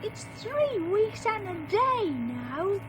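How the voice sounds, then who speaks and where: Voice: very high voice, big pitch swings, slow pace, noisy background, exaggerated voice. An actor playing a bossy, playful young child at captain games in a radio drama. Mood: guarded.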